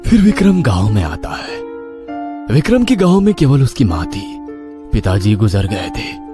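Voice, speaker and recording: Deep, animated male horror narrator over music. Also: measured pace. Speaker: story narrator. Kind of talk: dramatic scripted horror story narration in Hindi. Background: background music.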